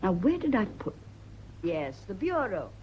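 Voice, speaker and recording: A woman's voice: medium pitch, sing-song, a little slow, a little mellow, breathy edge, low-quality recording. An actress playing a yearning, guilt-ridden woman in secret love, emotional and dreamy, in a radio drama. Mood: dreamy longing.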